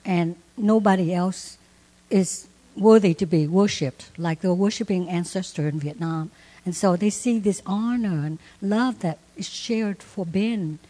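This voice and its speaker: A middle-aged woman speaking earnestly and haltingly at church, giving thanks to the congregation. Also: on the low side, warm tone. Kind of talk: heartfelt church remarks. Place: church sanctuary. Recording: long hall reverb.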